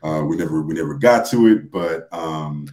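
A man in a casual podcast chat, speaking haltingly and often repeating his words. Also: rumbling low, a little mellow. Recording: roomy, echoey sound.

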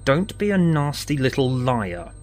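A man voicing a furious, bullying father in a storybook, barking curt orders loudly. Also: medium pitch, big pitch swings, unhurried, faint music behind, voice pushed up.

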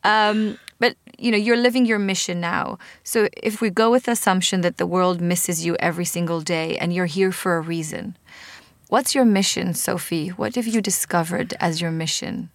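A woman with an Israeli accent hosting a podcast interview, asking earnest, thoughtful questions in a gentle, probing way. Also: lowish voice, fairly even intonation, moderate pace, no echo, studio quality. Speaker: podcast host. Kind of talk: reflective interview conversation.

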